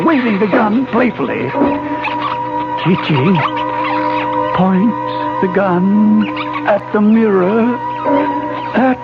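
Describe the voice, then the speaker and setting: Dying guy narrating his own story, high voice, intense and deliberate, 70s radio play with music behind. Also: gravelly, exaggerated voice. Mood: intense, urgent. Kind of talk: 1970s radio drama first-person narration. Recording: dull 1970s radio broadcast, music behind.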